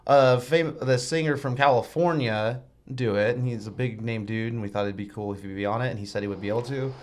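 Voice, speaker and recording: A man with a high-pitched, shrill voice, a band singer guest in a radio studio, telling stories in a rambling, animated way. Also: fast. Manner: rambling, animated storytelling with restarts. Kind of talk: casual interview chat.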